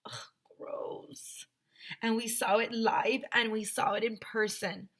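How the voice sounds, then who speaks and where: A woman teacher reading a children's storybook aloud, animated and emphatic, speaking directly to her young listeners. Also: on the high side, slow.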